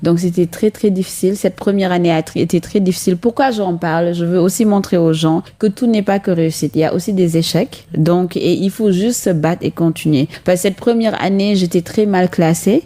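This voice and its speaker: A woman, giving earnest, measured answers with frequent hesitations as a radio interview guest. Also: lowish voice, warm tone. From Senegal. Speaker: guest, chemist and entrepreneur.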